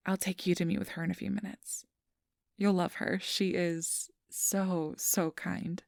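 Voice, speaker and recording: A woman playing a kindly, apologetic registration clerk in an ASMR roleplay, speaking softly and often in a whisper with a gentle, reassuring manner. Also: on the low side, dry recording, studio quality. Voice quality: soft, breathy, often whispered. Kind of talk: ASMR roleplay, soft whispery speech to the listener.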